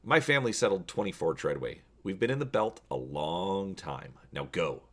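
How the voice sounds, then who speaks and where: A man voicing a stubborn, self-assured male settler held prisoner in a storybook reading, measured and terse. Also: deep voice, sing-song.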